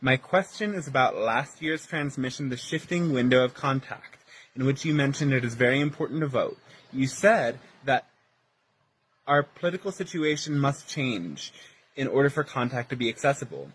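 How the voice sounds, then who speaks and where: A man's voice: medium pitch, a bit bright, poor audio. An earnest man from Pennsylvania reading out a detailed question, polite and careful. His voice sits mid-range, with a faint ambient noise behind him. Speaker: audience questioner. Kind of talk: prepared question read aloud.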